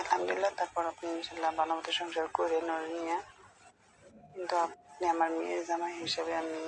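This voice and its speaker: Middle-aged woman, creaky voice. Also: on the low side. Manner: hesitant, pleading, repeats herself. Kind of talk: private phone conversation. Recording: noisy recorded internet phone call.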